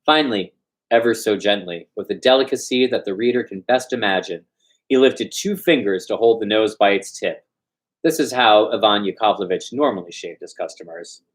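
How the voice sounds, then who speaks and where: A man's voice: lowish voice, normal speed. A man reading a story aloud as host, with a theatrical, playful storytelling delivery. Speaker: host and reader of a storytime show. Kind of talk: dramatic storytelling read-aloud.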